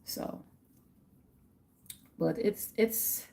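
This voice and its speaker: A woman's voice: extremely slow, dark tone, a little hiss or hum. A middle-aged woman with a Guyanese accent, chatting casually on her livestream, repeating herself and laughing often. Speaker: livestream host.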